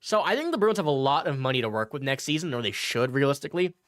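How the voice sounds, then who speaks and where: Chatty, slightly nasal man with lively pitch. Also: fast, dry recording, studio quality. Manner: chatty, answering viewer comments. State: a bit sick. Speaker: sports channel host. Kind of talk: casual livestream commentary.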